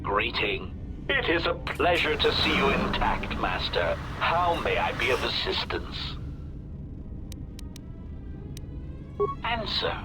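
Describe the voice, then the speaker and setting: An actor playing a polite but sinister protocol droid in a video game, with robotic processing and formal, pedantic delivery. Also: male voice, mid-range voice, sing-song, unhurried, over loud music. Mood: coolly courteous. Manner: formal, pedantic, labels statements before saying them. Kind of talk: scripted video game dialogue.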